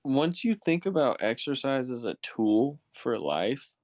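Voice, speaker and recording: A man's voice: medium pitch, big pitch swings, unhurried, darkish tone, no echo, studio quality. A man co-hosting a podcast in casual conversation. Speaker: podcast co-host. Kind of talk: casual chat.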